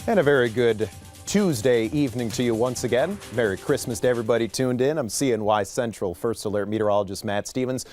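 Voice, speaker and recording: A man's voice: mid-range voice, quick pace, darkish tone, soft background music. An American man presenting a broadcast weather forecast as a TV meteorologist in a conversational, explanatory way.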